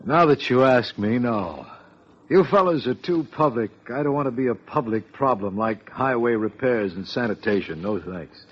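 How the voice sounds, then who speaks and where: An actor playing a wealthy, vain, self-deluded victim in an old-time radio crime drama. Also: male voice, medium pitch, animated, moderate pace, breathy edge. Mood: self-assured. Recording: dull old radio recording.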